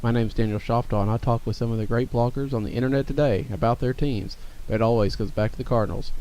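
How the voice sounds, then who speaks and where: A man reads a scripted promo as a podcast host. His voice is mellow and dark, sitting at a middle pitch. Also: fairly even intonation, fast, low-quality recording.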